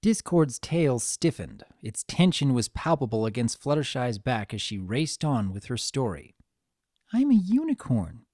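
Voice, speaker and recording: A woman's voice: very deep voice, lively intonation, moderate pace, few pauses, a little mellow, no room sound, clean studio sound. A woman giving a dramatic scripted narration as a storyteller.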